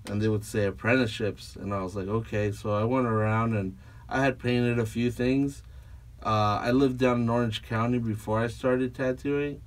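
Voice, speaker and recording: Rambling tattoo-artist guest, slightly off-mic. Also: male voice, fairly low pitch, far from the mic. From Peru. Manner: rambling, full of likes and fillers. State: tired after a late night. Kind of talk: casual podcast conversation. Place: small foam-walled podcast studio. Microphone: studio mic on a boom arm, headphones on. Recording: voice a little off-mic.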